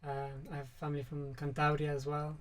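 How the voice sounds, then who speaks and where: A man from Spain, a musician guest, chatting in a relaxed, soft-spoken interview and using many fillers. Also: on the high side, brisk, a crowd in the background.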